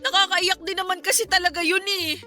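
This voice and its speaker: Radio drama role: sentimental young woman, very high bright voice, casual chatty delivery, music underneath. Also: flat delivery, fairly quick, exaggerated voice. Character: tearful, sentimental young woman hanging out with friend.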